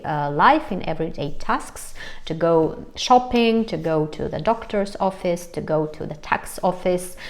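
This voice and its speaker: Hesitant woman vlogger, lowish voice. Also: a little mellow. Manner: hesitant, many fillers, light laughs. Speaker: vlogger, host of own channel. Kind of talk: casual talk to camera.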